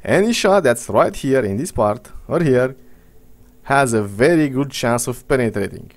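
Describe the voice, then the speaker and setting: A young Romanian man talks through a video game in English, his accent clear in every line. His commentary is loose and rambling, with blunt verdicts, a dry sarcastic streak and casual swearing. His voice sits at an ordinary middle pitch for a man. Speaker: gaming commentator.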